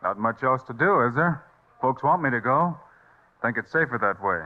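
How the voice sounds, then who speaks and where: An actor playing a calm, terse, steadfast American marshal of the Old West in a vintage radio play. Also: male voice, mid-range voice, normal speed, lightly acted. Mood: resigned calm. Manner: terse, unruffled. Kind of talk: 1950s Western radio acting. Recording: dull old radio recording.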